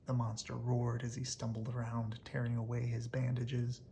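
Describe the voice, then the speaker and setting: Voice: medium pitch, monotone, moderate pace, creaky, mellow, slight background noise. A storybook reader narrating expressively aloud for children, as if at bedtime.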